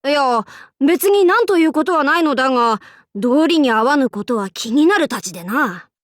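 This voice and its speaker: A woman playing a brash, cocky young feudal warlord in an anime game, with rough, masculine, archaic and boastful speech. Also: high-pitched, lively intonation, moderate pace, dry recording, studio quality. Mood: musing, curious. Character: brash, cocky young feudal warlord, self-styled fool, fiercely loyal. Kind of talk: anime game character voice acting.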